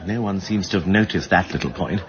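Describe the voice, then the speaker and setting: An actor playing a well-bred, insistent amateur sleuth in a radio drama, with an upper-class English accent and a plummy, drily witty delivery. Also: male voice, low-pitched, brisk, highs cut off, lightly acted. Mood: earnest recounting. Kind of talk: British radio drama acting.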